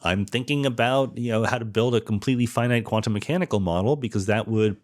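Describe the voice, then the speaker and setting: A man's voice: on the low side, fast. A man giving an informal explanatory monologue as a podcast host, posing questions and answering them himself. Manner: explanatory, asks and answers own rhetorical questions. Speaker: podcast host, theoretical physicist.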